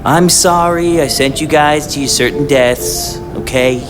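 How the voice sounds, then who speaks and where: A man's voice: fairly high pitch, a little slow, natural voice. An actor playing a sullen, hot-headed young henchman in a video game, petulant and defensive. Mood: grudging. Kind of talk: video game cutscene acting. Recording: long reverb with loud music behind.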